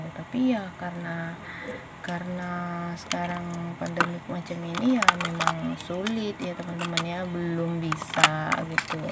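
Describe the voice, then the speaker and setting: A woman from Indonesia with an Indonesian accent, telling a story casually and chattily to her viewers as a vlogger. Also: fairly low pitch. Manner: conversational, addresses viewers as friends. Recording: noisy, with vehicle and ambient sound.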